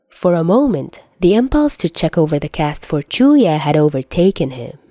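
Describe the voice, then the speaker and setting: A woman's voice: low-pitched, warm tone, no room sound. A woman reading a scripted piece of fiction as its narrator.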